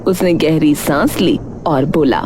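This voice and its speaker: A woman's voice: deep voice, measured pace, noisy background. A woman narrating a scripted story as its storyteller.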